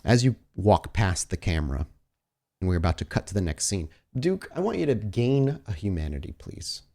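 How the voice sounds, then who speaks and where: A man's voice: low voice, big pitch swings, normal speed, vocal fry, dark tone. A man narrating as game master of a tabletop roleplaying game, conversational and descriptive, speaking directly to his player. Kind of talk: improvised narration mixed with casual table talk.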